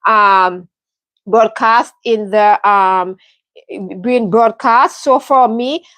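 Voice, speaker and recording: A woman from the Caribbean speaks earnestly on her live stream, pausing often with ums and starting sentences over. Her voice sits a little high. The sound is dry and close, with no room echo. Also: a bit bright. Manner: earnest, heartfelt, many ums and restarts. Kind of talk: casual live stream update. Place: home in London.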